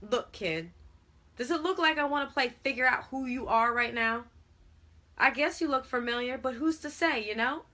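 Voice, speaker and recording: A woman's voice: medium pitch, animated, unhurried, a bit bright. A woman voicing an angry, posturing big man in a story, irritated, slangy and dismissive.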